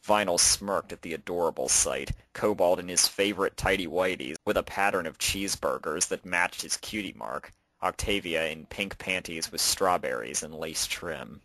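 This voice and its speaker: Guy narrating a story, really low voice, dry close sound. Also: normal speed, hardly any pauses, pushed and bright, no room sound, rough recording. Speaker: narrator and fan fiction author.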